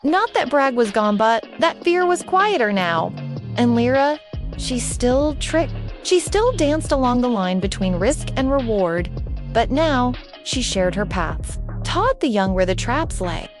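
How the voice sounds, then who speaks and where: A woman narrates a scripted storybook tale. Her voice sits a bit high and moves up and down in a lively way, with music playing behind her. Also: measured pace.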